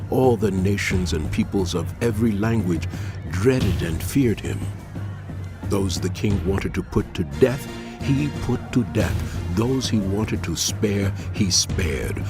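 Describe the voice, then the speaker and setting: A grave prophet in an audio Bible drama, fearless before royalty. He declaims slowly and deliberately in a lowish voice. Music runs clearly underneath his words. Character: solemn, fearless prophet rebuking a proud king.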